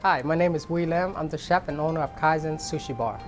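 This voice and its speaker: A woman's voice: deep voice, brisk. A woman from Cambodia, a chef and restaurant owner, speaking earnestly in an interview. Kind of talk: interview to camera. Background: music.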